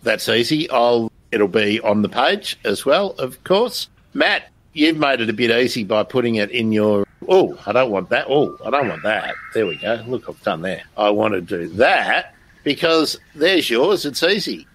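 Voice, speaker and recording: A man's voice: mid-range voice, lively intonation, measured pace, fairly bright tone. Chatty man hosting. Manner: chatty, self-deprecating. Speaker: live stream host. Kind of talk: casual chat.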